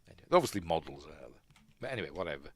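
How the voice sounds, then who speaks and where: A man with a Yorkshire accent hosting a casual film commentary, rambling and musing aloud, often second-guessing himself and trailing off quietly. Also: a bass voice, very animated, racing, dry recording. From Yorkshire, England. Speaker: film review host.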